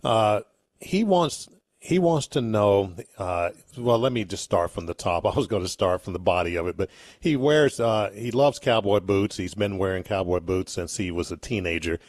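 A man hosting a radio talk show, easygoing and halting as he reads a listener's question. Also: lowish voice, expressive, darkish tone, dry recording, clean studio sound.

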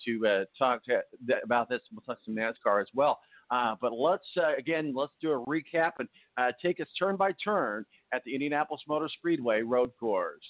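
A man hosting a sports radio show, talking casually in a halting, chatty way. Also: medium pitch, no room sound. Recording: phone-like, narrow-band dry line.

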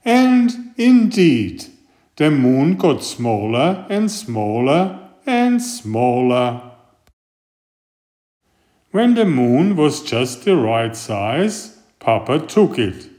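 A man reading a children's picture book aloud in a deliberate, expressive storytelling manner. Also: fairly high pitch. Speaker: storybook reader and author.

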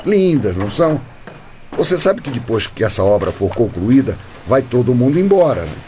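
A man's voice: mid-range voice, big pitch swings, normal speed, mellow, a little hiss or hum, natural voice. An actor playing a manipulative, falsely fatherly Brazilian construction boss in a radio soap, insistent and theatrical. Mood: smooth, tempting. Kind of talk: radio soap opera acting.

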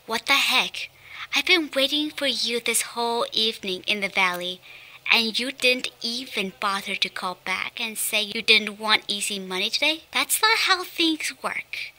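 Woman acting a bossy, very bright-voiced young businesswoman. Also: on the high side, exaggerated voice, rough recording. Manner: brusque, exasperated. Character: impatient, bossy young businesswoman leaving phone messages. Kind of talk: radio play acting.

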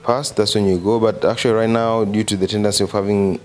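A man's voice: fairly low pitch, steady tone, fast, a little mellow, faint music behind. A young man from Tanzania with a Tanzanian accent and Swahili as his first language, explaining steps informally into a handheld mic in a living room, often correcting himself. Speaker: vlogger. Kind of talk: casual explanatory vlog. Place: living room, seated on a sofa. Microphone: handheld microphone.

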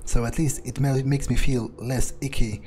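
A man's voice: mid-range voice, steady tone, moderate pace, warm tone, a little hiss or hum. A man thinking aloud in character during a video game playthrough, in a hesitant, musing way. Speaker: gamer role-playing in character.

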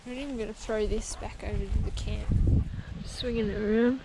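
A woman's voice: mid-range voice, fairly even intonation, a little fast. A young woman narrating a casual camping vlog in a chatty, playful way, filmed outdoors at a rural campsite on an action camera. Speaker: vlogger. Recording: loud wind and outdoor noise.

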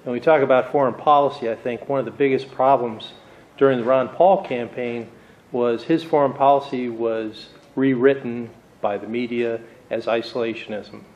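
A man's voice: mid-range voice, fairly even intonation, moderate pace, lo-fi sound, a little hiss or hum. A man asking a question from the audience, earnest and a little hesitant.

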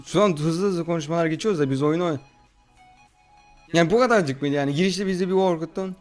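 A man hosting a horror game playthrough in slangy, excitable, casual chat, often addressing his viewers. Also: fairly high pitch, quick pace, soft background music. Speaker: gaming video host.

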